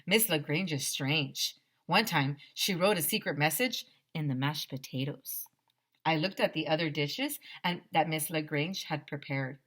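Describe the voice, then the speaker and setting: A woman teacher reading a children's chapter book aloud in an expressive, animated way. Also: low voice, measured pace. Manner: animated, acts out dialogue.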